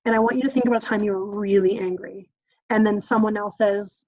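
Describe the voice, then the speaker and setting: A woman's voice: medium pitch, steady tone, fairly quick, darkish tone, no echo, highs cut off. A woman presenting a parenting workshop in a casual, chatty way, often acting out exchanges between parent and child, with frequent "um"s. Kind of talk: informal teaching talk.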